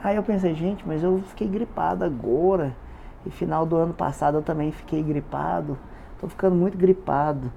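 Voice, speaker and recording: A man's voice: on the high side, moderate pace, gravelly, warm tone, a little hiss or hum. A man with a stuffy, congested voice, talking casually to camera about his fitness challenge and repeating words for emphasis. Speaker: vlogger documenting a fitness challenge. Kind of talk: casual confessional vlog.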